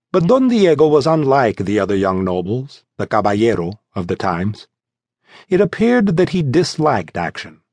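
A male narrator reads a scripted adventure story. His mid-pitched voice rises and falls in a lively, dramatic way. The recording is close and dry, with no room sound. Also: breathy edge, no echo. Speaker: audiobook narrator. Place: dry studio.

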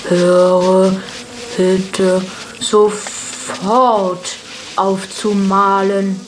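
A man voicing a polite but easily annoyed snail in a children's audiobook, with drawn-out, deliberate speech. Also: high voice, level tone, slight background noise, voice pushed up, poor audio.

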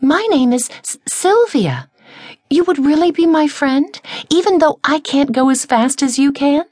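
A woman voicing a tiny, shy young female caterpillar, high and pleading, close to tears. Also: a little slow, darkish tone, no echo.

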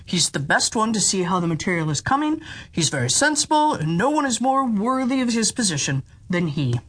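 A woman voicing a vain, self-assured male emperor in a storybook tale, speaking in a measured, pompous way. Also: mid-range voice, sing-song. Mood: self-assured musing.

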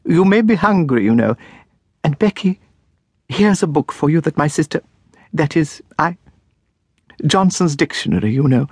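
A man voicing a kindly, fussy older spinster schoolmistress who flutters and frets in a high, flustered voice. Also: expressive, moderate pace, pauses often, darkish tone, husky, no echo, studio quality.

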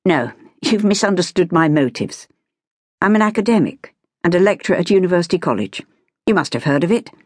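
A narrator playing an educated, patient gentleman academic in an audiobook, speaking in a measured, formal and precise way. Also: mid-range voice, lively intonation, no echo, clean studio sound, lightly acted. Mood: earnest.

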